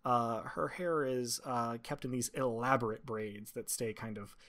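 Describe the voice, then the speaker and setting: A man improvising narration as game master, describing scenes in halting, measured phrases. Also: mid-range voice, fairly even intonation, fairly bright tone.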